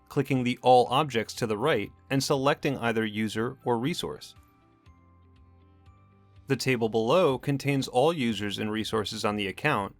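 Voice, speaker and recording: A man reading scripted instructional narration in a measured, matter-of-fact corporate manner. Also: mid-range voice. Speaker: tutorial narrator. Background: background music.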